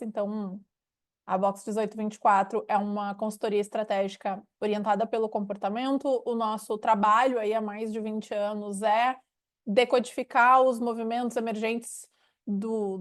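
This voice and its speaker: Woman, gaúcho Brazilian accent, fairly high voice, conversational webinar host, explanatory. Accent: gaúcho Brazilian Portuguese. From Rio Grande do Sul, Brazil. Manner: didactic, explanatory. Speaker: webinar host, director of a knowledge unit. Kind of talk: conversational presentation.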